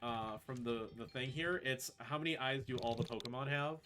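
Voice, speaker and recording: A man's voice: medium pitch, moderate pace, pushed and bright, faint music behind. A man giving casual live commentary as a speedrun commentator, in an informal conversational way.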